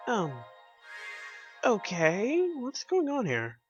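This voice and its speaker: A man's voice: extremely high pitch, huge pitch swings, extremely slow, highs cut off, soft background music. A man giving casual, wry game commentary and reading game text aloud in an offhand way. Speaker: video game player.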